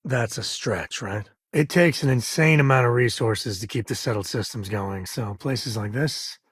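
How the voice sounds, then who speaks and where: An actor playing an easygoing, folksy space cowboy and devoted dad in a video game, with an American accent and a husky, grainy voice. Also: male voice, mid-range voice, moderate pace, dry recording, studio quality, lightly acted. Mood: thoughtful, earnest. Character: easygoing wry space cowboy, devoted father, adult man. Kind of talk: video game character dialogue.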